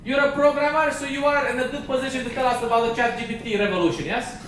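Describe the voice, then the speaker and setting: A man doing stand-up crowd work in a comedy club, teasing audience members with quick banter and a constant "okay?". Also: very high-pitched, steady tone, a bit bright, heavy reverb, recorded from a distance, a little hiss or hum. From Romania.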